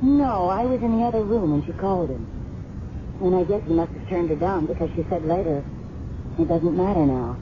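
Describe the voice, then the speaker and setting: A woman's voice: on the low side, lively intonation, fairly quick, close to an everyday voice. An American actress playing a shaken, candid roommate of a murdered woman in a 1970s radio drama, confiding hesitantly. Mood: troubled, recalling. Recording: muffled, noisy 1970s radio broadcast recording.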